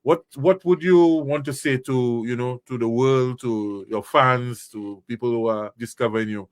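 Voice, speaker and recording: A man's voice: mid-range voice, normal speed. A man hosting a casual interview, speaking in an encouraging, affirming way.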